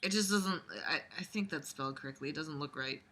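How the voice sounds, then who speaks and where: A woman's voice: low-pitched, brisk, pushed and bright. A woman reading a book aloud and critiquing it casually, blunt, exasperated and sweary, with sarcastic commentary.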